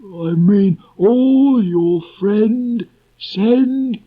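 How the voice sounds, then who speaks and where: A man voicing a gloomy, self-important old donkey in a children's storybook, declaiming in a ponderous, halting, doleful way. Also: high voice, voice pushed up, exaggerated voice. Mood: doleful, flustered. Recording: muffled old cassette tape transfer.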